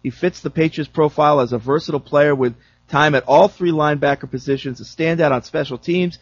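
A man's voice: fairly high pitch, brisk, slightly rough, dry recording. A man hosting a casual sports talk show, reading listener emails aloud between easygoing banter. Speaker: radio talk show host. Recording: dull lo-fi dry sound.

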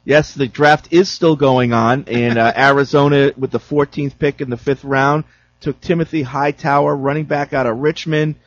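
A man's voice: mid-range voice, fairly even intonation, normal speed, dry recording. A man hosting a casual sports talk show, reading listener emails aloud between easygoing banter. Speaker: radio talk show host. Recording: dull lo-fi dry sound.

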